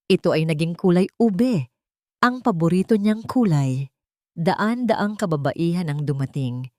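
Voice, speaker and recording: A woman's voice: lowish voice, a little mellow, dry recording, studio quality. A woman with a Filipino accent narrating a scripted dramatic story.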